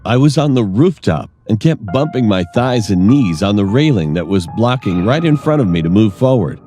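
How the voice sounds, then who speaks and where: A man narrating a scripted first-person horror story in a measured, dramatic storytelling manner. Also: lowish voice, animated, warm tone, soft background music.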